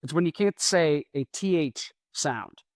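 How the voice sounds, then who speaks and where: A man's voice: fairly high pitch, expressive, measured pace, darkish tone, no echo. A man hosting a podcast in a rambling, casual solo monologue. He mumbles his words and lets his S sounds drag out. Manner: rambling, self-deprecating. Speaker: podcast host.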